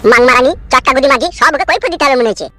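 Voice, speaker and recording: A man's voice: extremely high pitch, very fast, sharp tone. A man voicing a grumpy, crude, sarcastic village husband in a comedy cartoon. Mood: irritated, sarcastic.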